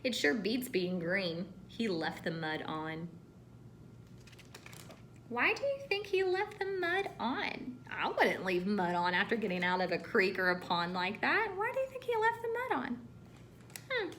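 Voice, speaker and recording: A woman reading a storybook aloud to children as a teacher, expressive and pausing to ask questions. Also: mid-range voice, slight background noise.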